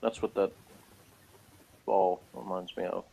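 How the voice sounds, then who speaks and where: A man's voice: low-pitched, fairly even intonation, measured pace, creaky, dark tone, slight background noise. A man chatting informally as co-host of a review, tossing in loose asides. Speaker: co-host of a sci-fi TV episode review. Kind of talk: casual chat and commentary.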